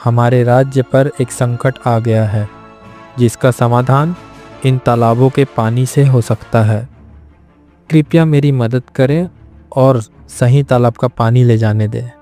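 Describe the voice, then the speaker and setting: A man voicing a brave, polite hero with an earnest, deliberate manner in a cartoon moral story. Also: medium pitch, steady tone, mellow, soft background music, lightly acted. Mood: earnest pleading. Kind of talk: animated moral story acting.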